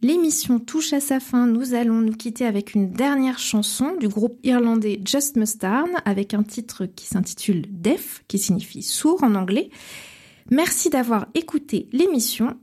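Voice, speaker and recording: A woman hosting a radio program, reading a scripted book review in measured, short phrases. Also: on the high side, animated, mellow, breathy edge. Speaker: radio host.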